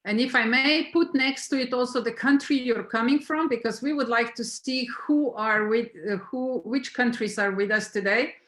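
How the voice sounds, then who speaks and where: A woman's voice: on the high side, normal speed, hardly any pauses, fairly bright tone. Woman moderating, roomy echo. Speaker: host and moderator. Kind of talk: moderating an online discussion. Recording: roomy, echoing online call.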